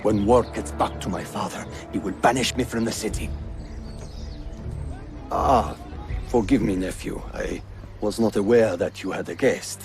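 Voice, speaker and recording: A man's voice: lowish voice, unhurried, pauses often, darkish tone. An actor playing a proud, scheming Ottoman prince and uncle in a video game, speaking formally and theatrically. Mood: agitated, alarmed. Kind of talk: cinematic video game acting. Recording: music behind the dialogue.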